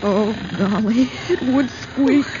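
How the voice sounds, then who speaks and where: A woman's voice: fairly high pitch, takes it slowly, a loud crowd. A woman playing a nervous but plucky newspaper reporter in a 1940s radio drama, hesitant and dramatically alarmed. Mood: anxious. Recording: dull noisy 1940s radio recording.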